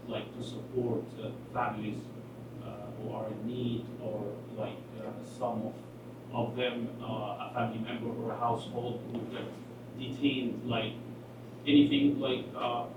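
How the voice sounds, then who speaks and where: A man's voice: medium pitch, flat delivery, measured pace. A man from Egypt asking a panel a question, hesitant and full of fillers. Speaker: audience member asking a question. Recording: distant echoey room mic with noise.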